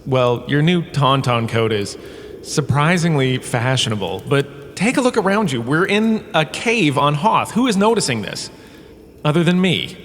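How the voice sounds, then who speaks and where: An actor playing a pompous, washed-up star turned flippant professor, lecturing in a theatrical, breezily dismissive way for a comic audio drama. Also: male voice, on the high side, big pitch swings, unhurried. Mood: exasperated, flippant. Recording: long cave reverb, background ambience noise.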